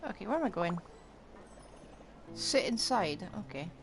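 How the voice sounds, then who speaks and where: A woman's voice: fairly low pitch, sing-song, measured pace, creaky. A woman giving casual live gaming commentary as a streamer, full of wry, joking asides. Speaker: game streamer. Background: game music.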